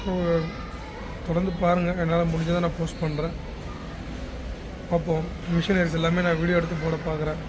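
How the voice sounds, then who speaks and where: Tamil nadu guy doing a casual travel vlog, pretty flat intonation, lots of airport noise behind him. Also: a little high, a little fast, pauses often. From Chennai, India. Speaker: business founder vlogging. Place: busy international airport. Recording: noisy public space, clear background noise.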